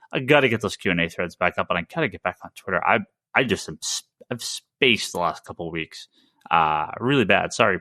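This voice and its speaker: Bright-voiced man hosting a casual podcast. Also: lowish voice, dry recording. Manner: informal, rambling, conversational. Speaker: podcast host. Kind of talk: casual podcast chat with listener shout-outs and plugs.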